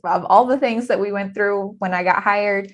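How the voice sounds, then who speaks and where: An American woman hosting a casual interview chat, animated and laughing often. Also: mid-range voice, moderate pace. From United States. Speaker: host.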